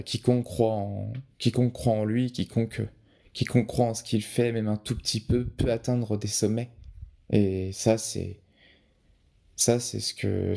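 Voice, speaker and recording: Low gravelly young man, hesitant. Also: warm tone. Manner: hesitant, many restarts and fillers. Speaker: podcast guest, photographer. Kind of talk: casual interview answers.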